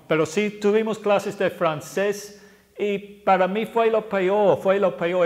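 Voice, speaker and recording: A man in his sixties from England, an English teacher with an English accent, talking casually to camera, often pausing and correcting himself. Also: fairly high pitch. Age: 60s. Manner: hesitant, self-correcting, plain-spoken.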